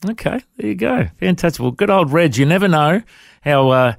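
Man, podcast host, encouraging questions, slightly high voice, dry sound. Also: lively intonation, brisk, gravelly, no echo. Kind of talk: interview hosting.

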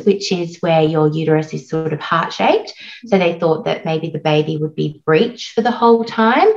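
An Australian woman in her thirties talks openly and chattily, full of "like" and "um". Her voice sits fairly low, and the recording sounds a bit dull and lo-fi. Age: 30s. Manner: warm, chatty, frequent "like" and "um". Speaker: guest, postpartum doula. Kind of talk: casual interview storytelling.

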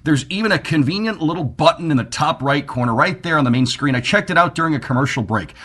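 A man's voice: on the high side, animated, fast talker, fairly bright tone, breathy edge. Man hosting a sports radio show. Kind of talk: upbeat sports radio talk.

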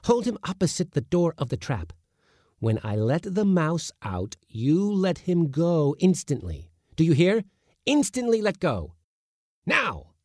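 A man's voice: on the high side, big pitch swings, slow pace, darkish tone, dry recording, studio quality, pitched above the performer's own voice. A man voicing an irascible middle-aged male Latin schoolmaster who blusters and scolds in a raised, strained voice. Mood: commanding.

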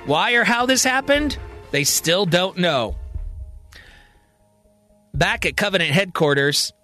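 High-pitched male storyteller over music. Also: expressive, takes it slowly, sharp tone. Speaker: storyteller, narrator. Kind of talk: scripted narration of a serial audio drama.

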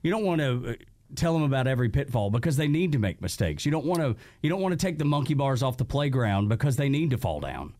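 A man's voice: mid-range voice, very animated, quick pace, a little mellow. A podcast host in his forties chatting casually and enthusiastically, rambling and repeating words as he searches for them. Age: 40s. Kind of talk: casual chat.

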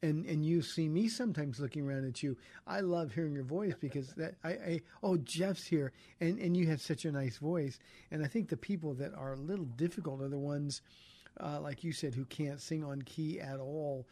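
Earnest pastor hosting a call-in show. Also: male voice, on the high side, moderate pace, mellow, no echo. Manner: earnest, encouraging, with frequent restarts. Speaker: pastor and radio host. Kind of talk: call-in radio Bible talk.

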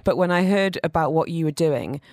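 A woman's voice: low voice, animated, brisk, a little mellow, dry recording. A woman presenting a radio food show and chatting in a studio with an effusive, emphatic way of talking. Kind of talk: radio presenting and interview chat.

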